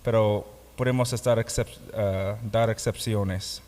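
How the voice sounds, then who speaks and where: Male pastor-teacher, non-native speaker with foreign accent, unhurried and halting classroom delivery, reverberant hall recording. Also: fairly low pitch, fairly even intonation, darkish tone, a little hiss or hum. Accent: foreign, non-native Spanish. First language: not Spanish. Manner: halting, learner's grammar slips. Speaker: pastor and Bible course teacher. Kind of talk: classroom lecture, explaining a course. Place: classroom or hall with students present. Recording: echoey hall with long reverb.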